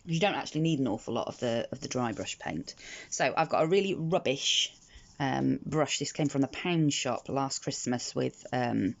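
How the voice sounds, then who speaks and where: British woman, croaky, gravelly, chatty craft tutorial, lots of ums, slightly muffled audio. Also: very deep voice, expressive, normal speed. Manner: chatty, frequent ums and restarts. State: croaky from the morning. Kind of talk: casual step-by-step craft tutorial. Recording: slightly dull lo-fi audio with faint noise.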